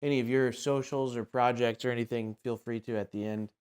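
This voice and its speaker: A man's voice: medium pitch, fairly even intonation, brisk, darkish tone, smooth. A young man chatting casually as an interviewer, informal and slangy. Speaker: podcast host, interviewer. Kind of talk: casual chat.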